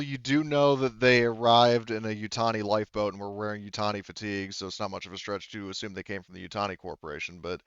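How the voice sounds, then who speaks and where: A man's voice: lowish voice, fairly bright tone, clean tone, dry recording, highs cut off. A man acting as game master in a casual online tabletop roleplaying discussion, explaining things with frequent asides.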